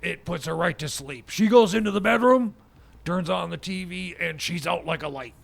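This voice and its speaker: A man playing a blustery middle-aged Christmas crusader who rambles, stammers and gets loud in a radio studio. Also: high voice, normal speed, vocal fry, heavily acted. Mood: rambling. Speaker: recurring comic character on a radio show.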